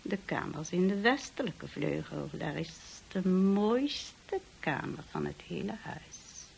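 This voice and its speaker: Slow, cold, formal housekeeper. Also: female voice, lowish voice, big pitch swings, vocal fry, a little hiss or hum, heavily acted, rough recording. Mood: cold, guarded. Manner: formal, measured, restrained. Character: cold, tall, gaunt housekeeper, formal and quietly hostile. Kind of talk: radio play acting.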